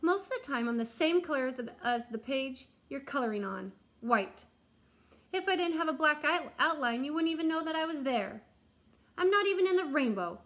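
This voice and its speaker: Storybook crayon, weary and aggrieved, pleading tone, higher register, female reader. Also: lively intonation, normal speed, fairly bright tone. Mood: dejected. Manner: complaining, pleading. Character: weary, underappreciated crayon airing grievances.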